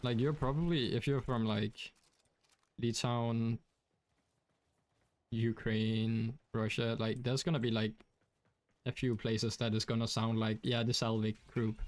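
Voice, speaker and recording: A man's voice: mid-range voice, little pitch movement, unhurried, pauses often, no echo. A young man from Denmark with a Danish accent, chatting casually while streaming a game, rambling with many fillers. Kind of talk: casual gaming stream chat.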